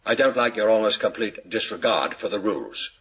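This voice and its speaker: Here is a stern, pompous British police chief from a radio crime drama. His voice is low, very husky and sharp-edged, and he speaks formally, with weighty pauses, as someone obsessed with his public image. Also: animated, full character voice. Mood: stern, reprimanding. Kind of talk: radio thriller acting.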